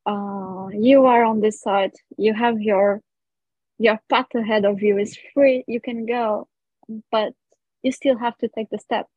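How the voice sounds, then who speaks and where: A woman's voice: on the high side, steady tone, measured pace, breathy edge. A woman from Portugal with a Portuguese accent, a guest casually telling her own story. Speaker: guest, missionary. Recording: roomy, dull lo-fi audio.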